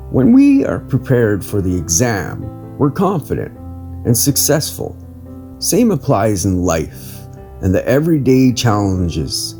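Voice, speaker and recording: A man reading an inspirational article as a podcast host, in a measured, earnest manner with deliberate phrasing. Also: mid-range voice. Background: soft plucked guitar music.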